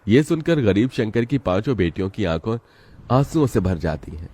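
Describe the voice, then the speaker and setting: A man narrates a cartoon story in a steady, dramatic manner. His voice sits very low. Each line is delivered like scripted storytelling. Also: moderate pace, dry recording.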